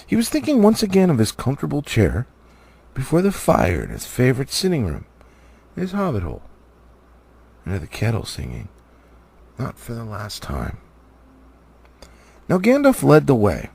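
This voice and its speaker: A man narrates a fantasy storybook as an amateur audiobook reader. His pitch is extremely varied and expressive, sinking often into gravelly fry. The tone has a husky grain. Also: moderate pace, long pauses.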